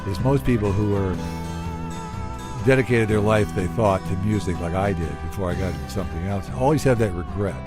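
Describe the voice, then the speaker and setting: A man's voice: lowish voice, a little fast, music in the background. A man hosting an interview podcast, talking in a chatty, conversational way and joking with his guest.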